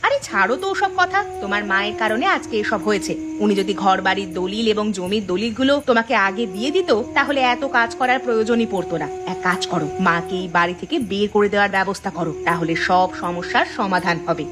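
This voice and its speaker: Quick-talking scheming village wife, acted by a woman. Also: medium pitch, animated, lightly acted. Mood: scheming, dismissive. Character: scheming, callous village daughter-in-law. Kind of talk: Bengali cartoon voice acting.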